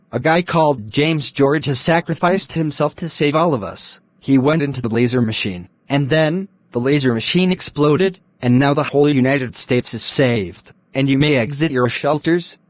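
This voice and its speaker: A man's voice: mid-range voice, no room sound. A man reading a scripted mock emergency broadcast as the alert announcer.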